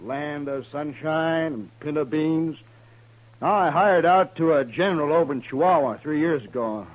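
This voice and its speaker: An actor playing a rough, jovial drifting gunslinger in a 1950s radio western, with an American Western accent and a folksy, joshing drawl. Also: male voice, on the high side, measured pace, natural voice. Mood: wry boasting. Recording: dull vintage radio recording.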